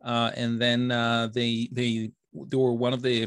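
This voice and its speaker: A man's voice: mid-range voice, completely flat delivery, normal speed, fairly bright tone, clean tone, dry recording, clean studio sound. A man in his forties with an Israeli accent, answering interview questions with many fillers and restarts. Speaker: author guest. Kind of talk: interview conversation.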